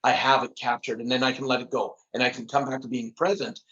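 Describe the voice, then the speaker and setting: A man's voice: mid-range voice, level tone, quick pace, a bit bright, muffled recording. A middle-aged man speaking earnestly as a podcast guest, often repeating words and laughing at himself. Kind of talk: casual interview chat.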